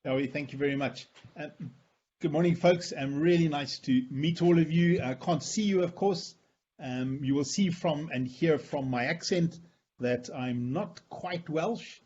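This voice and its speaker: A man with an accent mixing Northern Irish, Irish and South African, a veterinary professor giving a friendly, measured webinar presentation. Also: fairly high pitch, a little mellow, breathy edge, lo-fi sound. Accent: Northern Irish, Irish and South African mix.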